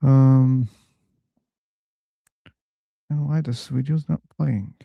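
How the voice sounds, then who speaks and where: Guy hosting an online voice room, talks slow and easy, laughs a lot, dry close mic. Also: mid-range voice, creaky, no room sound, phone-quality sound. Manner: relaxed, chatty, laughs easily. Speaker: host of a live discussion room. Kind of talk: casual live-stream chat.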